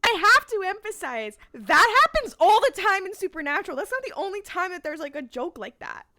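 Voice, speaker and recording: A woman's voice: extremely high pitch, big pitch swings, brisk, pushed and bright. A woman on a podcast panel chatting casually as a fan making a case, emphatic and repeating phrases for effect.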